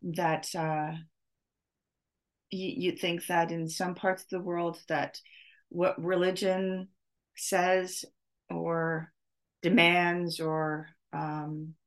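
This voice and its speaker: Middle-aged female podcast host, low register, slow and hesitant, unscripted reflection. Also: little pitch movement. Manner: halting, searching for words, with long pauses. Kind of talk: off-the-cuff spoken reflection.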